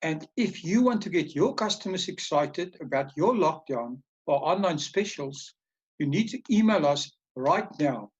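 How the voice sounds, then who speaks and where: Higher-pitched male show host, lo-fi. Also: animated, a little slow, breathy edge. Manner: announcer-like, reads lists. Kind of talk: scripted intro then interview.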